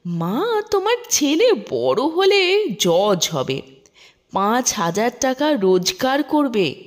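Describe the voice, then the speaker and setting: A woman's voice: fairly high pitch, very animated, takes it slowly. A woman storyteller voicing a doting male servant who boasts in amazement about a child. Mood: proud wonder. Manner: boastful, wonderstruck. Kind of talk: storybook character voice within narration.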